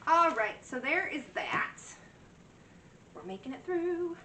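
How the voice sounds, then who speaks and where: A woman's voice: high voice, big pitch swings, slow pace. Woman, chatty, rambling, slightly worn voice, mic set back, faint background hiss. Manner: rambling, chatty, full of fillers and restarts. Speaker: cross-stitch vlogger. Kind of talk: casual show-and-tell chat. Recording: echoey room, mic at a distance.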